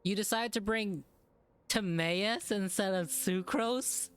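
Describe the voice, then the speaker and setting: A man's voice: high-pitched, takes it slowly, a bit bright, clean tone, faint music behind. A man giving casual, joking reaction commentary as he streams a video game. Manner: joking, teasing.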